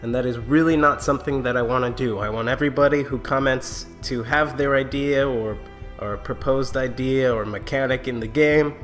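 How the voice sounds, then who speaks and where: A man's voice: mid-range voice, steady tone, normal speed, a bit bright, soft background music. A young man with a stuffy nose, chatting casually to viewers in a rambling way full of fillers and restarts. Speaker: YouTube channel creator. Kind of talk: casual vlog address to viewers.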